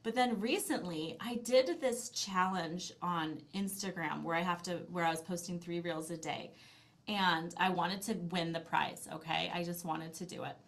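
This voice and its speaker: A woman's voice: on the low side, moderate pace, a bit bright. A middle-aged woman talking casually as an interview guest, telling stories in a chatty, self-deprecating way. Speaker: podcast guest, language-learning business owner. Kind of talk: casual interview conversation, anecdotal.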